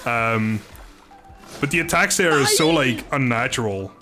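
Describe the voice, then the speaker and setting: A chatty male streamer talking over music in a casual live gaming session. His pitch is mid-range and swings in a lively way. He jokes easily as he comments and chats. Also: unhurried. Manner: chatty, joking, laughs often.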